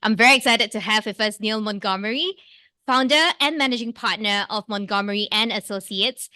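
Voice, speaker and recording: Podcast host, woman, bright tone, upbeat and eager, dry close sound. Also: medium pitch, no room sound. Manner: upbeat, enthusiastic. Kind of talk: interview hosting.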